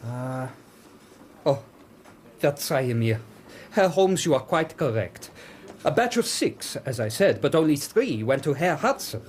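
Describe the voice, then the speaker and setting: An actor playing a courteous but exasperated German factory owner in a radio drama, with a formal, precise, emphatic manner and a German accent. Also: male voice, a little high, big pitch swings, a little slow, long pauses, darkish tone, a little hiss or hum. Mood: apologetic, obliging.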